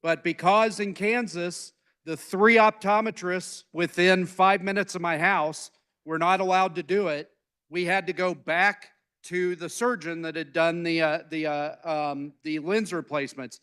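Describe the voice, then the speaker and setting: A male state lawmaker addresses the chamber in debate. His voice is fairly high for a man, clean and resonant. He phrases things plainly, with some hesitation. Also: fairly even intonation, unhurried, a bit bright, clean tone. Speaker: state legislator. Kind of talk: floor debate speech. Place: legislative chamber.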